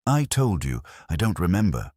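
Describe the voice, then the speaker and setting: A man's voice: sits low, very animated, moderate pace, a little mellow, dry recording, clean studio sound, voice pushed up. A man as a frightened, hesitant actress. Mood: confused, fearful. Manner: hesitant, pleading. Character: frightened glamorous young actress, fragile and dramatic.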